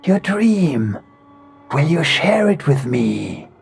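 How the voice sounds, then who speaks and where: A man narrating a horror story, voicing an uncanny being that only poses as a calm man, speaking softly and measured, often sinking to a whisper. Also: on the high side, gravelly, slight background noise, pitched above the performer's own voice, heavily acted. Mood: soothing menace. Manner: soft, measured, slips into hushed whispers. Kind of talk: horror audiobook reading.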